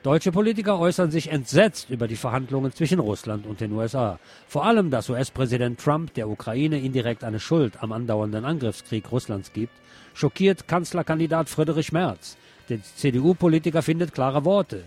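A man's voice: mid-range voice, expressive, normal speed, darkish tone, breathy edge. A man reading scripted news narration and commentary as a presenter.

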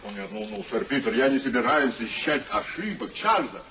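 An actor playing a gruff, hearty old uncle in a theatrical 1950s Russian radio play, blunt and exclamatory. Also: male voice, medium pitch, very animated, a little fast, sharp tone, slight background noise. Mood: scornful, blunt. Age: older. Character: Sir Oliver, gruff hearty old uncle back from abroad.

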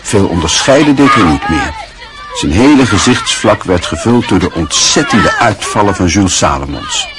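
A man narrating a Dutch radio play in a measured, literary storytelling manner. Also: sits low, lively intonation, over a noisy crowd, heavy background noise.

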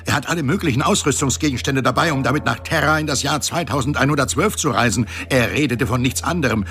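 An actor playing a flustered, excitable space station officer in a German science fiction radio drama. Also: male voice, mid-range voice, quick pace, natural voice. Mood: agitated.

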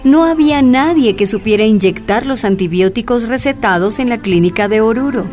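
A woman's voice: fairly high pitch, lively intonation, moderate pace, over music. A woman narrator reading the scripted narration of a radio drama.

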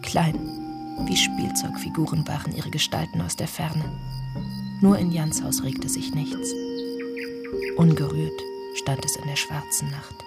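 Radio drama narrator: observant and brooding, measured literary delivery, loud music bed. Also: female voice, sits low, fairly even intonation, vocal fry. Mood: brooding, reflective. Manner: measured, descriptive storytelling. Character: observant, brooding village narrator recalling events.

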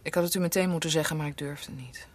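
An actress playing a devoted young niece in love with her master in a radio drama, ardent and breathy, often dropping to a hush. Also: low voice, fairly even intonation, fast talker. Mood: pleading, tender. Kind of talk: Dutch radio drama acting.

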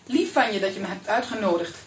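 An actress playing a lively, flustered, sharp-tongued woman in a Dutch radio drama, animated and teasing. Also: mid-range voice, fast, close to an everyday voice. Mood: teasing, brisk.

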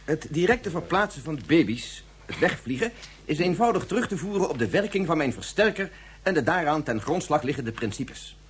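An actor playing an agitated physicist in a Dutch radio drama, speaking in an earnest, formal, explanatory way. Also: male voice, fairly high pitch, very animated, fairly quick, a little hiss or hum. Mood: earnest defence. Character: agitated male physicist defending his invention.

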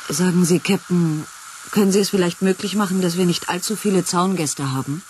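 An actress playing a commanding, determined project leader of a research station, brisk and polite, in a science fiction radio play. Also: female voice, lowish voice, a little mellow, lightly acted. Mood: businesslike, polite. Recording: clear background noise and ambience.

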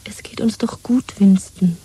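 Defiant lover woman, super husky breathy, dark mellow, intimate, mocking, 70s radio play. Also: mid-range voice, measured pace, rough recording. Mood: soothing, mocking. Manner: intimate, breathy, often half-whispered. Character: pragmatic, mocking female lover, secretly defiant of the regime. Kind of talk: 1970s German radio play acting.

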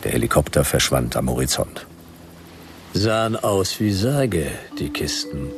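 A laconic noir detective in a radio play. The voice is rock-bottom deep and full of creak, telling the story in short, dry sentences. Soft music runs underneath. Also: male voice, very animated, a little slow, heavily acted. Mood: dry, suspenseful. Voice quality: gravelly. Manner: dry, clipped, terse narration. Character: laconic hardboiled male private detective, aged 96, narrating.

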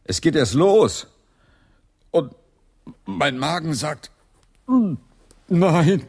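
Slow, droll, sardonic Englishman. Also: on the high side, animated, vocal fry, darkish tone, exaggerated voice. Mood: queasy. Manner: droll, theatrical, relishing long words. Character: sardonic, earthy English delegate, mocking and queasy. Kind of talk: German radio drama acting.

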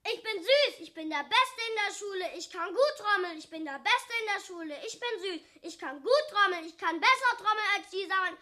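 A show-off little boy, radio drama, super high sharp voice, keeps repeating his brags. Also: expressive, brisk, hardly any pauses, heavily acted. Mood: boastful. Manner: repetitive, childish boasting. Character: boastful, self-satisfied little boy, teacher's pet. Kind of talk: German radio play acting.

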